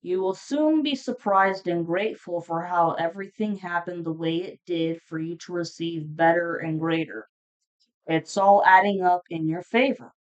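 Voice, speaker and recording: A woman's voice: lowish voice, normal speed, clean tone, highs cut off. A woman giving a casual, unscripted tarot reading to viewers, speaking in a blunt, informal, foul-mouthed manner. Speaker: tarot reader hosting a zodiac reading.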